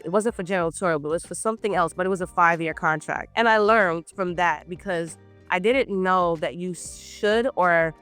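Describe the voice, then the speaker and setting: A woman from Barbados, a guest in a casual business chat, telling her story in a relaxed, matter-of-fact way. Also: fairly low pitch.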